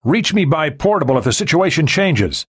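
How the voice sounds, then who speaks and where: A man's voice: on the high side, big pitch swings, normal speed, breathy edge, pitched above the performer's own voice. A man voicing a curt male mercenary commander who gives clipped, authoritative orders. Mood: commanding.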